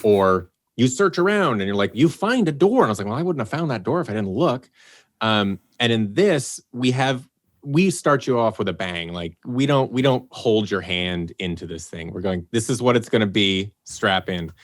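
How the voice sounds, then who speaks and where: A man with a lowish voice, recorded dry and close. He talks casually as a director explaining his craft, often acting out little examples as he goes. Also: expressive, moderate pace, no room sound. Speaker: audio drama director and voice actor. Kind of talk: casual interview talk.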